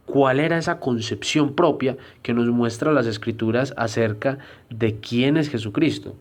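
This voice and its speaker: A man giving a church history lesson in a deliberate, explanatory way, often pausing with hesitation fillers. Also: medium pitch. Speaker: Bible teacher.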